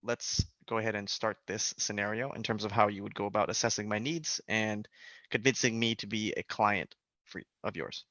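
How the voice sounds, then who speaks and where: Young male career adviser from Hawaii, relaxed and halting, a fairly low voice with a forceful edge, dull lo-fi line. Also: no echo. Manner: relaxed, hesitant, many ums and restarts. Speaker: career adviser running a mock interview. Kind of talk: casual mock interview role play. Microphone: video call. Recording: dull lo-fi sound, dry.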